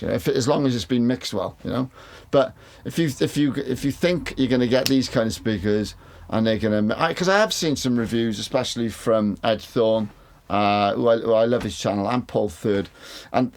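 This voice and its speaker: A man's voice: on the low side, creaky, a little mellow. A man with a big voice, talking casually to camera about studio gear, rambling, repeating words and swearing now and then. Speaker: mixing engineer reviewing studio monitors. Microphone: studio condenser mic.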